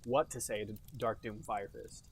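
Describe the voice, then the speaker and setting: A man's voice: on the low side, fairly even intonation, normal speed, gravelly, husky. A young adult man co-hosting a casual podcast, cracking jokes with mock-grandiose asides. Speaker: podcast co-host. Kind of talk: casual chat.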